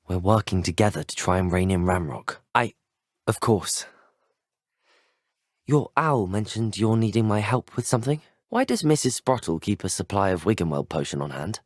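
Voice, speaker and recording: An actor playing an earnest, courteous young male wizard student in a video game, polite and well-spoken, with a British accent and a smooth voice. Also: lowish voice, dry recording, studio quality. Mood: polite, inquiring. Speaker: player character in a video game. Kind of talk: scripted video game voice acting.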